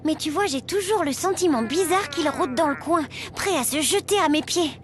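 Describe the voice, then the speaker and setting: A woman voicing a chatty, ambitious sixteen-year-old schoolgirl in an animated series, expressive and self-assured. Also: sits high, moderate pace, background noise. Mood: chatty, amused. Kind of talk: animated series dialogue and voice-over.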